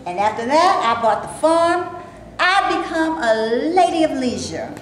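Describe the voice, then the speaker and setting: A very echoey recording of a staged play reading. An actress plays a wry, indignant maid who dreams of acting, a woman with a fairly high voice and plenty to say. There is faint noise around her. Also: lively intonation, unhurried. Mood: playful.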